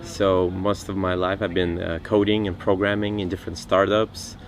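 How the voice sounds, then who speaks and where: A man from Siberia in Russia, introducing himself in a measured, matter-of-fact way. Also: low-pitched, flat delivery. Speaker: mastermind participant. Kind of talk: casual self-introduction.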